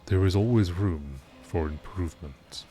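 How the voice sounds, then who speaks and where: A man's voice: deep voice, measured pace, loud music behind the voice. A man reading scripted text as a narrator, in the style of a nature documentary. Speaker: narrator reading game lore entries.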